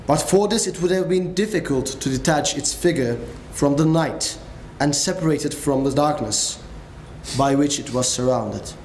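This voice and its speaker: A man narrating a classic story with grave, measured delivery in a dramatic reading. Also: fairly high pitch, darkish tone. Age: young adult. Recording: echoey room, faint noise.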